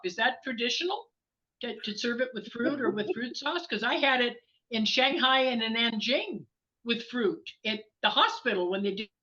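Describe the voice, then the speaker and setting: A woman guest in a casual group chat, telling stories in an animated way and laughing often. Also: a little high, muffled recording.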